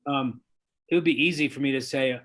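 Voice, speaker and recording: A man being interviewed as an author, lawyer and diversity trainer, speaking in an earnest, measured and emphatic way. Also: medium pitch, fairly bright tone. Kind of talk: interview guest, conversational.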